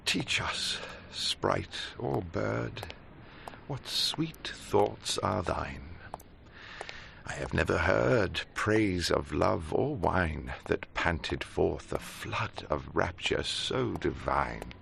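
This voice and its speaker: A slow, gravelly reciter of verse. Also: male voice, low-pitched, lively intonation, a bit bright, a little hiss or hum, exaggerated voice. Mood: rapt. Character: solemn, rapturous reciter of Romantic verse. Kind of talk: slow dramatic poetry recital.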